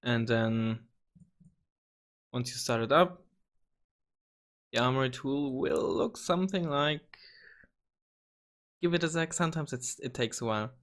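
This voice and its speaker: A slightly hoarse young man hosting a casual gaming tutorial, rambling with many ums and restarts and the odd swear word. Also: medium pitch, measured pace, pauses often, a bit bright. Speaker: gaming channel host. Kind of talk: casual screen-recorded tutorial.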